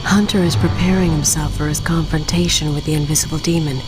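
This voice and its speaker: An actress playing a cold, calculating machine mastermind in a video game, speaking deliberately and theatrically. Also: female voice, sits low, steady tone, music in the background, close to an everyday voice, rough recording. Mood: commanding. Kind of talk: dramatic video game narration and dialogue.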